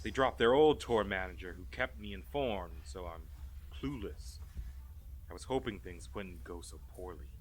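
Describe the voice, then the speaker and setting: A man's voice: low voice, animated, moderate pace, slight background noise. A man playing a guarded, guilty benefactor with a rueful, confessional manner in an audio drama.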